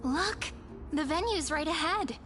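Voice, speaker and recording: A woman playing an upbeat, gentle young adventurer companion in a video game, cheerful and encouraging. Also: sits high, expressive, unhurried. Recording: long reverb, faint music. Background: faint music.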